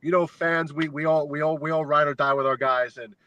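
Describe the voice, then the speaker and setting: A man as a podcast guest, telling a long fan story in a casual, animated, rambling way with lots of filler words. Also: a little high, brisk, dry recording, dull audio. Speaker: guest, fan sign maker.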